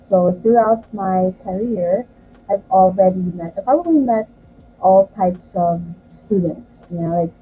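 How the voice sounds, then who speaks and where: A woman's voice: mid-range voice, unhurried, slightly rough, narrow, tinny audio. A young woman from the Philippines whose first language is Tagalog, a guest tutor talking earnestly over a video call. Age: young adult.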